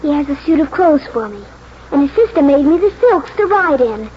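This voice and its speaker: A woman's voice: sits high, very animated, fairly quick, slight background noise. A woman playing an eager, earnest young horse-loving girl in a 1940s radio drama, speaking breathlessly. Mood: fervent, determined. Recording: muffled old 1940s radio broadcast, music.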